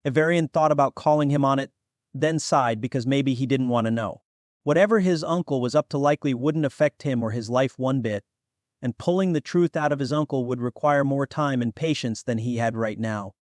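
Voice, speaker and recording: A man narrates a fantasy audiobook from a script. His voice sits at a medium pitch, and the recording is close and dry. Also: fairly quick, no room sound.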